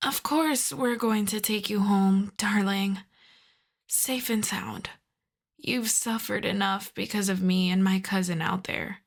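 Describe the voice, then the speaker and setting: A woman's voice: medium pitch, fairly even intonation, slow. A woman playing an exasperated but courteous mafia boss in a roleplay audio drama, wry, sardonic and conversational. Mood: wry, apologetic. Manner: wry, sweary, conversational, addresses listener as darling.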